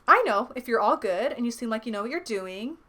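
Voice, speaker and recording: A woman's voice: a little high, lively intonation, brisk, sharp tone. A woman voicing a cheerful, scatterbrained female teacher with a joyful sing-song manner in a story read-aloud.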